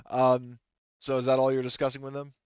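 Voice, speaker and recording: A man running a tabletop game as game master, improvising narration in a casual, halting, conversational way on slightly dull audio. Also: medium pitch, completely flat delivery, slight background noise.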